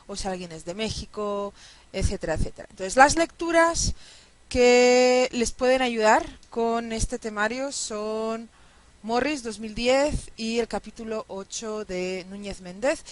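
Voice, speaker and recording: A woman giving a lecture over slides in a didactic way, often checking in with short tag questions. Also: mid-range voice. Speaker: university linguistics lecturer.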